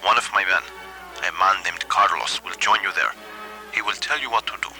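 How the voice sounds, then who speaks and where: A man acts out a menacing spymaster in a comic radio play. The voice is deep and very sharp, with formal, threatening phrasing. Faint music runs behind. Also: normal speed, full character voice, low-quality recording. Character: menacing Latin American spymaster named Arturo. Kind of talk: satirical radio play acting.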